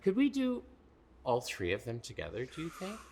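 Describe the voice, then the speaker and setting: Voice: medium pitch, huge pitch swings, moderate pace, vocal fry, darkish tone, breathy edge. A man co-hosting a children's storytime with a playful, theatrical, exuberant way of talking and reading.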